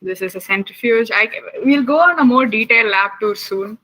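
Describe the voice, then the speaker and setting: A woman's voice: fairly high pitch, sharp tone, rough recording. A young woman from Chennai, chatting with children while giving a science camp lab tour over a video call. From Chennai, India. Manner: chatty, asks the children many quick questions.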